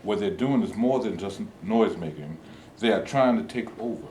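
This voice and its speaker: A man's voice: on the low side, expressive, moderate pace, darkish tone, natural voice. A man playing a cautious, principled activist leader in a staged play reading, formal and earnest. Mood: firm, earnest. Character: cautious male civil rights leader, principled, measured. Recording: echoey room with background noise.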